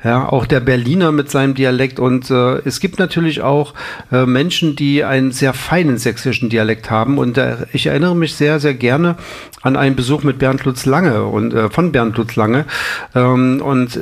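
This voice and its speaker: A man with a gravelly, creaky voice of middling pitch, chatting as a guest in a radio studio. He weighs his words slowly, restarting sentences and filling gaps with ums. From eastern Germany. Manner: thoughtful, many ums and restarts. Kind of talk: casual radio conversation.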